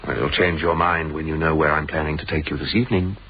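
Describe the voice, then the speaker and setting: An actor playing a blustering, demanding middle-aged British business boss in a radio drama. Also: male voice, very deep voice, little pitch movement, fast talker, husky, exaggerated voice. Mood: playful. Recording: dull, hissy radio drama recording.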